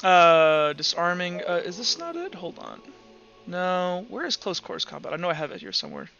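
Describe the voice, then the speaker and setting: A man's voice: high-pitched, huge pitch swings, normal speed, gravelly, pushed and bright, muffled recording. A man casually running a tabletop roleplaying game, rambling through rules and muttering as he counts and looks things up. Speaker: game master. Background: background music.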